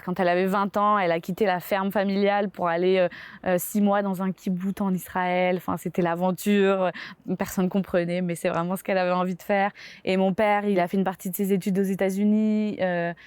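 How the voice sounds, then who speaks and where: A woman's voice: medium pitch, darkish tone, smooth, no echo. A young woman from France with a French accent, telling her story in a casual interview with many fillers and small laughs. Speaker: interviewee, graphic designer.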